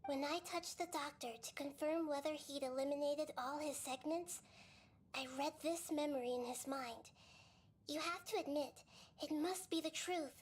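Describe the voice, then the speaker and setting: A woman voicing a gentle, wise young goddess. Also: high-pitched, close to an everyday voice. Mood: calm. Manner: calm, measured storytelling. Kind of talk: video game voice acting, narration.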